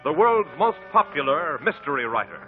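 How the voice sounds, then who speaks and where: A man's voice: fairly high pitch, big pitch swings, normal speed. A man with an American accent narrating in a theatrical, portentous 1950s radio style. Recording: tinny old radio with loud music. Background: music.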